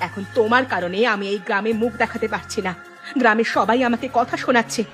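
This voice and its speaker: A woman's voice: a little high, quick pace, a bit bright, soft background music, lightly acted. A woman playing an aggrieved village housewife in a cartoon drama, scolding emphatically and repeating words for stress. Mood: angry, hurt. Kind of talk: dramatic Bengali cartoon dialogue dubbing.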